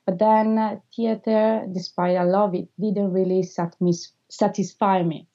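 An Italian woman in her thirties talks through interview answers in English, her second language, stopping often on filler sounds. Her voice is mellow and warm. The recording is a little dull and lo-fi. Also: medium pitch, little pitch movement, unhurried. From Italy. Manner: hesitant, frequent filler sounds, non-native phrasing. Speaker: filmmaker being interviewed.

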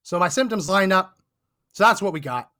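Male podcast host, hoarse and fading voice, high-pitched, emphatic indignant monologue. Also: expressive, moderate pace, pushed and bright. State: hoarse, losing his voice. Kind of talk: political commentary monologue. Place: Texas.

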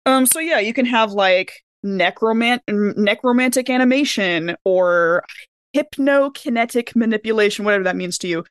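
A woman talks casually as a podcast panelist, with an animated storytelling manner. Her pitch sits in the middle for a woman, and the sound is dry and close. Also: normal speed, smooth, dry recording. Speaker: podcast panelist and tabletop player. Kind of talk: casual chat.

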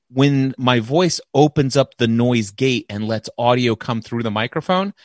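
A man with a loud, powerful voice, a podcast guest who explains his recording gear at length. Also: mid-range voice, no echo, studio quality. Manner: enthusiastic, explains gear in detail. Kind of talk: casual podcast conversation. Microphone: close dynamic microphone.

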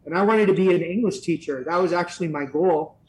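A man's voice: high-pitched, fairly quick, a little mellow, a little hiss or hum, poor audio. A middle-aged man, a Spanish teacher, answering interview questions casually, rambling with many fillers and restarts. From San Francisco area.

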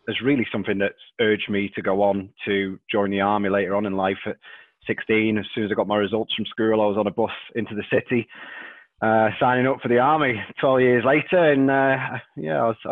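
A man in his thirties with a Liverpool accent, a podcast guest who talks haltingly and repeats words and fillers. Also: fairly low pitch, dry recording. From England. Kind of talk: casual interview chat. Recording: dry tinny phone-like line.

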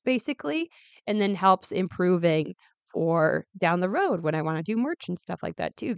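A woman in her twenties hosting her own podcast in a casual, chatty way, her voice still raspy from being unwell. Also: on the low side, fairly bright tone, no room sound. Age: 20s. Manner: chatty, upbeat, many ums and asides. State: raspy, recovering voice. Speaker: podcast host. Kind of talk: casual solo podcast chat.

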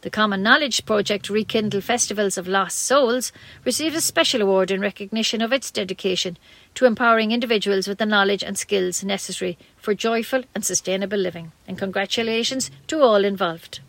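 A woman's voice: mid-range voice, a little fast, fairly bright tone, dry recording. A woman with an Irish accent reading scripted local news on community radio in a plain way, with the odd stumble.

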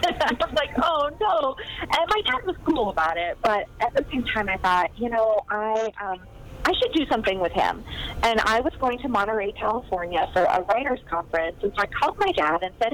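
A woman author talking casually in an interview, chatty and animated, with plenty of fillers and laughs. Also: fairly high pitch, rough recording. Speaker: guest author. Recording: faint background noise.

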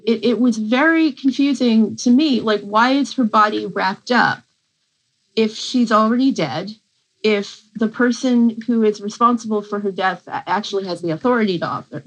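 A woman's voice: medium pitch, rough recording. A woman literature professor speaking as an interview guest, thinking aloud with frequent hesitations and restarts. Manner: thoughtful, halting, many fillers and restarts. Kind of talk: interview talk.

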